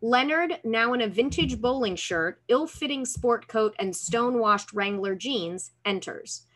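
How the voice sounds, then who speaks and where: A woman's voice: fairly high pitch, animated, a little slow, fairly bright tone, low-quality recording. A woman in her thirties reading screenplay stage directions as scripted narration over a video call. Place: room at home, indoors. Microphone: computer mic on video call.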